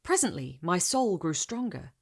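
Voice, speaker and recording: A woman reciting Gothic poetry as a reader, in a theatrical, measured and expressive manner. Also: fairly low pitch, vocal fry. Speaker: reader in a literary reading series.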